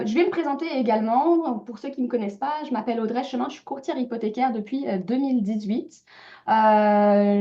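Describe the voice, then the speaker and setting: A woman's voice: on the high side, warm tone, muffled recording. A woman mortgage broker moderating a webinar over a video call, speaking hesitantly with many fillers. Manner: hesitant, many filler sounds, polite hosting. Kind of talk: webinar presentation.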